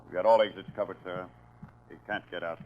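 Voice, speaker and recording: An actor playing a dutiful, urgent police sergeant making clipped reports in a 1940s radio crime drama. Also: male voice, low voice, fairly even intonation, quick pace, narrow, tinny audio, very noisy, natural voice. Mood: businesslike.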